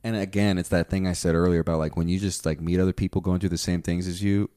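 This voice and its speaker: A young man in his twenties with a low-pitched voice talks in a loose, rambling way, full of swearing and filler words. His voice sounds dry and close, with almost no room sound around it. It comes across as relaxed, unscripted conversation between friends. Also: quick pace, clear, resonant tone, no echo. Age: 20s. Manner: rambling, profane, many fillers. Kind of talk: casual chat.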